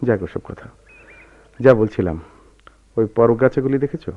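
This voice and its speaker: An actor playing a brooding, bitter, lovelorn man in a Bengali radio drama, speaking in a measured, melancholy, literary way. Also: medium pitch, natural voice. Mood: reflective. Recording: dull lo-fi radio recording with noise.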